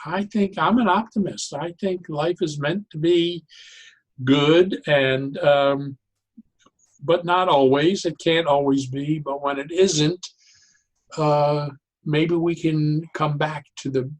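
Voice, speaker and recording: A man explaining ideas conversationally as an interview guest, in an animated, halting way, often repeating and interrupting himself. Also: a little high, rough recording. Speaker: podcast guest, psychologist turned joy advocate.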